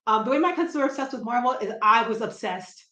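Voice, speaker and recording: A woman's voice: a little high, lively intonation, fast talker, fairly bright tone. Chatty woman with a light Brooklyn accent. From New Jersey. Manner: chatty, self-deprecating, laughs often. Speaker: guest comic writer. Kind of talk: casual interview chat. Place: room at home. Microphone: video call. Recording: roomy echo on a video call.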